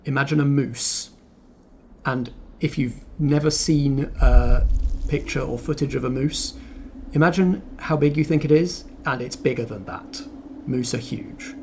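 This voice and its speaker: A man acting as game master, narrating a tabletop roleplaying game descriptively, with deliberate pauses between phrases. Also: medium pitch, darkish tone, a little hiss or hum.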